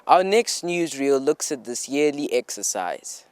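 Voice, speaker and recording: A man's voice: medium pitch, big pitch swings, unhurried, smooth. A man reading scripted news narration for a newsreel.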